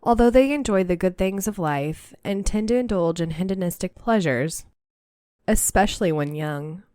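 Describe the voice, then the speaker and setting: Woman, podcast host, slightly nasal, lowish voice, dry close mic. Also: darkish tone, no room sound. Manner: laughs easily, chatty and upbeat. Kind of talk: casual podcast chat and reading aloud.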